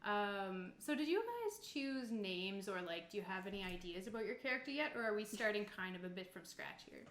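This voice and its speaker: A woman's voice: medium pitch, sing-song, a little fast, fairly bright tone. A woman hosting a tabletop roleplaying session as game master, chatting casually with her players. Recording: roomy echo.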